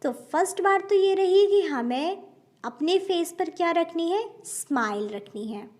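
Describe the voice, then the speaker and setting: Indian woman teacher, fairly high voice, very slow and deliberate, mixes English words into Hindi. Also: expressive, a little mellow. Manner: slow, careful, addresses viewers as friends. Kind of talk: spoken English lesson in Hindi.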